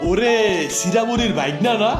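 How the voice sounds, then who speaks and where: A man's voice: high-pitched, animated, moderate pace. A man voicing a friendly, reassuring village boatman in a cartoon, hearty and folksy, with a rural Bangladeshi accent. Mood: welcoming. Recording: echoey, loud music behind.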